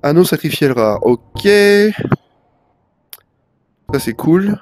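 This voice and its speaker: A man's voice: a little high, expressive, slow pace, dark tone, faint music behind. Stuffy-nosed young man streaming games. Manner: rambling, slangy, frequent fillers. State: stuffy with a head cold. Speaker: live-streaming gamer. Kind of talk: casual livestream chat.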